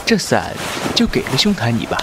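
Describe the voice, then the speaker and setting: A man's voice: mid-range voice, wildly swooping pitch, fairly quick, background noise. A man playing a polite young nobleman who gets flustered when teased, with music behind him. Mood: courteous. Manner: courteous, flustered when pressed. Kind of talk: Chinese audio drama acting. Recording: over background music.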